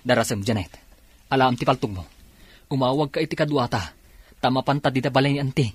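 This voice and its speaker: A man's voice: mid-range voice, fast, breathy edge, dry recording, lightly acted. An actor playing a commanding policeman in an Ilocano radio drama, giving directions in a Filipino Ilocano accent. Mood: urgent. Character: commanding policeman leading a response.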